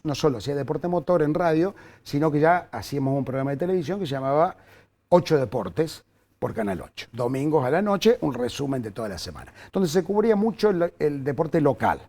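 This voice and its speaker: An older Argentine man reminisces as an interview guest, his voice gravelly, mellow and set a little high. His pitch rises and falls with lively energy, and he breaks up his stories with fillers and restarts. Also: a little fast. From Córdoba, Argentina. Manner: animated storyteller with frequent fillers and restarts. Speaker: journalist guest. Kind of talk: anecdotal storytelling in conversation.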